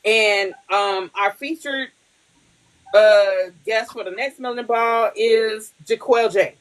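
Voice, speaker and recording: A woman's voice: a little high, takes it slowly, poor audio. A woman hosting a podcast in casual chat, blunt and profane, laughing often. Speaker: podcast host.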